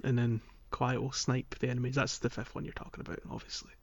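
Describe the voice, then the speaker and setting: A man's voice: mid-range voice, level tone, fast talker, vocal fry, lo-fi sound. A man hosting a podcast, chatting casually and thinking aloud as he goes. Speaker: podcast host. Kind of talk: casual gaming podcast chat.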